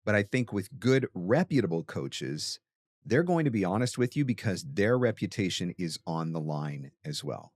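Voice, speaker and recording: Guy with a lowish voice, dry close sound, talking thru advice like a coach, emphatic. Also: big pitch swings, normal speed, a little mellow, no echo. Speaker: host and voiceover coach answering a question. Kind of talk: conversational advice.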